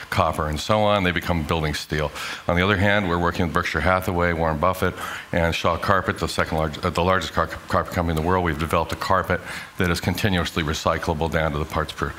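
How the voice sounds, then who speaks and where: Deep-voiced man giving a measured talk. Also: fairly bright tone, slight background noise. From grew up in Hong Kong. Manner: measured, emphatic lecturing with rhetorical questions. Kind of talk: prepared conference talk played in class.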